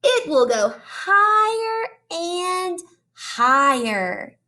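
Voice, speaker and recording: High, bright woman reading to children. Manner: addresses children directly with questions and praise; deliberate, expressive storytelling. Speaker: teacher reading aloud to young children. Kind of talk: children's storybook read-aloud.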